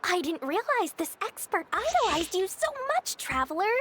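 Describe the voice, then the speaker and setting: A tiny swindling merchant girl from a video game, squeaky high and wildly swooping. She is gleefully greedy, selling with smug sweetness. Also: big pitch swings, moderate pace, noisy background. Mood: smug, delighted. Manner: sugary, smug salesmanship. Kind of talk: animated video game voice acting.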